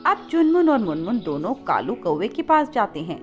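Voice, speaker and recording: A woman's voice: medium pitch, big pitch swings, normal speed, muffled recording, faint music behind. A woman reading a scripted children's story as its narrator.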